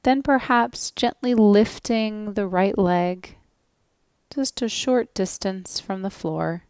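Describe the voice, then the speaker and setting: A woman guides a relaxation practice at a very slow, unhurried pace. Her voice is smooth and clear at a medium pitch, with gentle, soothing instructions and long pauses. The sound is close and dry. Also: no room sound. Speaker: yoga nidra instructor.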